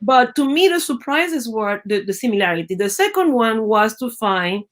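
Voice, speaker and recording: A middle-aged woman from Venezuela with a Venezuelan Spanish accent, a native Spanish speaker and biologist, telling stories in a lively interview, acting out quoted voices and often restarting her phrases. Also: on the high side, normal speed. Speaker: guest, biologist. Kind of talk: conversational storytelling interview.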